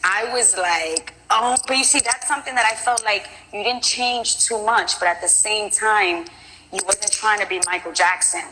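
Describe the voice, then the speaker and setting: A woman from Brooklyn, a school teacher who hosts radio, talking in an excitable, gushing way in a casual live interview. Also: medium pitch, normal speed, a little hiss or hum, low-quality recording. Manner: excitable, gushing, laughs often.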